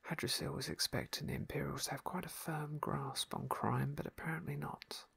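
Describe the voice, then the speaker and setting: A man's voice: a little high, fairly even intonation, normal speed, creaky, slightly rough. A man whispering wry commentary as he plays a fantasy game for ASMR. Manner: soft, wry, playful asides.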